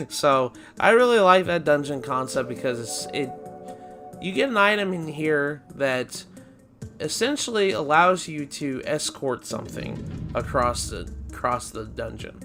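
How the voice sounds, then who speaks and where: A man hosting a video game let's play, losing his voice and sounding raspy, rambling and correcting himself as he talks. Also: on the high side, lively intonation, a little slow, over music. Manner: rambling, self-correcting, chatty. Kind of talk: casual gameplay commentary.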